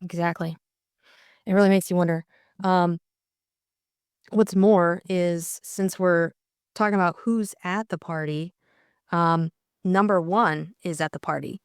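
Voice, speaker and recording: A woman's voice: fairly low pitch, steady tone, a little slow, pauses often, smooth, no room sound, studio quality. A young adult woman co-hosting an audiobook, reading narration and casually discussing the book.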